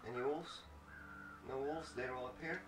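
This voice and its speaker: Man, casual gamer commentary, muttered swearing reactions, distant mic, clear background noise. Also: mid-range voice, moderate pace, reverberant. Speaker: gaming video host. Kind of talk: casual let's play commentary.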